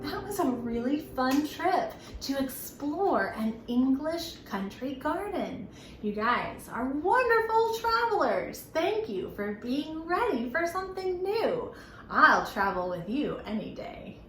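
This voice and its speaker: A woman leading an interactive storytime for young children in an animated, encouraging, sing-song way. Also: high voice, takes it slowly, echoey room, a little hiss or hum. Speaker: children's library storytime host.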